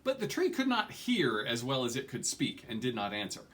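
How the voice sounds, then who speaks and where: A man's voice: mid-range voice, huge pitch swings, normal speed, fairly bright tone. A man in his forties reading a fantasy novel aloud with dramatic emphasis and the odd stumble, recorded at a desk at home. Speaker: reader of an audiobook. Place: small furnished room at home, indoors.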